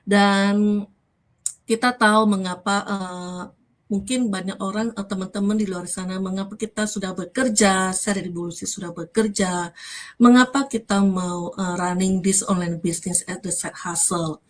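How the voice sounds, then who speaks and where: A woman's voice: medium pitch, fairly even intonation, normal speed. A woman with an Indonesian accent hosting a casual live talk, mixing in English words and often rephrasing as she goes. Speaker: host.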